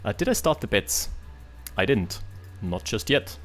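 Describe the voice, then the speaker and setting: A man casting a strategy game final in a lively, joking way, quick to react to big plays. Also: fairly low pitch, faint music behind. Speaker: esports caster. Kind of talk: casual game commentary.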